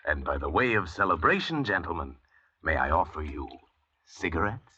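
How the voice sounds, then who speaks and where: An actor playing a suave, self-assured convict doctor in a vintage radio drama, speaking in an urbane, politely ironic manner. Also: male voice, sits low, sing-song, normal speed, a crowd in the background. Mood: smugly pleased. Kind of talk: 1940s radio drama acting. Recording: muffled vintage radio recording.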